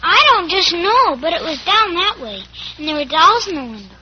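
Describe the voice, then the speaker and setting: A woman's voice: very high voice, animated, normal speed. An actress playing a chatty, innocent little girl in an old-time radio drama, with an American accent and artless manner. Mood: shy, earnest. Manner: childlike, artless, earnest. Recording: muffled old radio recording with hum.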